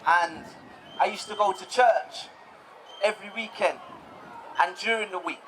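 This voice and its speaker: High-voiced British-raised man, relaxed telling. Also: measured pace, some chatter behind, a little hiss or hum, rough recording. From United Kingdom. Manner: relaxed, repeats words while speaking. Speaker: speaker giving a talk. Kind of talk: personal testimony talk.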